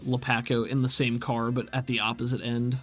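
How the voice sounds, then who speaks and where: A man's voice: mid-range voice, little pitch movement, fairly quick, husky. A man running a tabletop role-playing game, narrating scenes and explaining rules in a conversational, explanatory way. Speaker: game master. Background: music.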